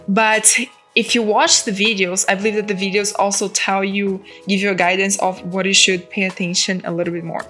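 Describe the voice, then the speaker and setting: A woman's voice: mid-range voice, fairly bright tone. A young woman from Brazil with a Brazilian Portuguese accent, speaking English as a second language, giving earnest, encouraging study tips in a casual chat to her viewers. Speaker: vlogger. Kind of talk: casual direct-to-camera advice.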